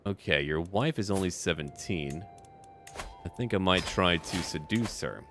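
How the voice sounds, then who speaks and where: A man's voice: low voice, a little slow, a bit bright, faint music behind. A man doing casual let's play commentary on a strategy game, musing to himself and addressing the characters in short remarks. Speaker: gaming video creator playing a strategy game.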